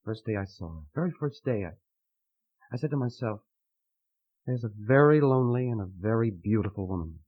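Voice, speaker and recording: An actor playing an earnest, lovesick young man who speaks in halting, poetic, fervent phrases in a melodramatic radio drama. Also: on the low side, lively intonation. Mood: fervent adoration. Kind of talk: melodramatic old-time radio acting. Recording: muffled vintage radio recording.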